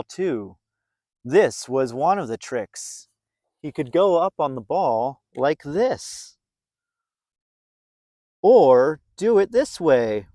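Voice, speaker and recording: High-pitched man reading a children's storybook, very animated. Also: dry recording, clean studio sound. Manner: playful, dramatic emphasis with drawn-out words. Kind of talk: expressive read-aloud storytelling for young children.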